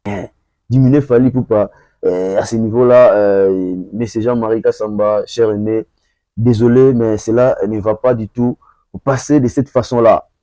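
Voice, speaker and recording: A man from Congo delivers heated opinion commentary in a warm, mellow voice. He repeats phrases for emphasis and stalls often with hesitations. The sound is close and dry. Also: fairly low pitch, steady tone, normal speed, no room sound, rough recording. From Congo (DRC). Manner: emphatic, repetitive, hesitant with many fillers.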